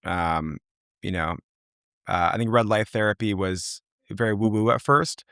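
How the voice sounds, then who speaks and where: A young man with a low, gravelly voice talks in a loose, rambling way, full of fillers and restarts. He sounds close and dry on a podcast mic. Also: unhurried, a bit bright, no echo. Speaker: company founder, guest. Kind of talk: casual interview talk.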